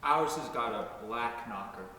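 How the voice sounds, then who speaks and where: A man's voice: mid-range voice, a little slow, sharp tone. A man in a dramatic reading, playing the listener who puts short questions and prompts to a storyteller. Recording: very echoey hall, distant mic, faint noise.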